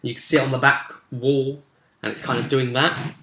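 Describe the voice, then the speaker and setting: Bright-voiced man in an echoey room. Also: medium pitch, flat delivery, fairly quick, a little hiss or hum. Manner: rambling, explanatory, conversational. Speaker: game master recapping tabletop role-play sessions. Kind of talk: casual spoken recap and commentary. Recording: roomy, echoey sound.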